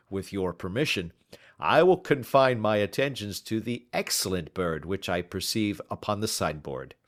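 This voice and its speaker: A man voicing a learned, down-on-his-luck older gentleman in an audiobook, courteous and slightly pompous, choosing words with slow staccato care. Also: fairly low pitch. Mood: amused. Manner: slow staccato, careful word choice, pompous. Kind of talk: audiobook character acting.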